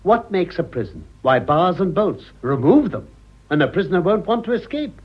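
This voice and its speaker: A muffled vintage radio play carries a pompous upper-class English schemer. His Received Pronunciation swings wildly up and down, and the tone is husky. He sounds thoroughly pleased with his own cleverness. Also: sits high, very animated, normal speed, heavily acted. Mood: gloating. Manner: pompous, self-congratulatory, theatrical. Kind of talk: vintage British radio drama acting. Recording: muffled old radio recording.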